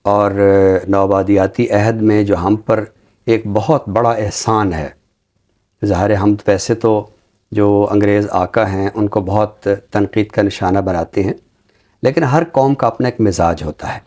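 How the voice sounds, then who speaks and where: A middle-aged Pakistani man with a low, very soft-edged, mellow voice. He talks like a university teacher hosting a literary programme, conversational yet formal, choosing his words with care. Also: fairly even intonation. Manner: formal, deliberate word choice. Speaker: talk show host, university teacher.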